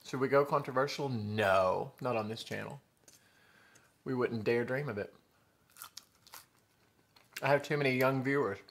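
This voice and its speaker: A man's voice: mid-range voice, unhurried, gravelly, long pauses, a bit bright. A man in his thirties with a Southern US accent, chatting to viewers between bites of food in a living room. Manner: chatty, addresses viewers as y'all, chews between remarks. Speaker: mukbang vlogger. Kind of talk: casual eating show chat. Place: living room with sofa and window blinds. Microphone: camera in front at table distance.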